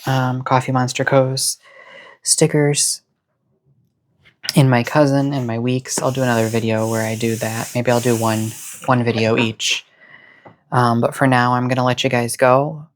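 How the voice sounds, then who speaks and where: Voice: medium pitch, flat delivery, a little slow. A person chatting casually as the host of a cozy hobby vlog, with a soothing voice and a chill, rambling, encouraging manner. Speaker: host of a planner and stationery channel. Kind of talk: casual cozy chat to camera.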